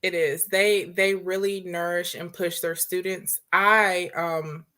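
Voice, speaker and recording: Bright-voiced woman, earnest interview guest. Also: on the low side, fairly even intonation, takes it slowly. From Trinidad and Tobago. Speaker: political candidate guest. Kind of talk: interview conversation.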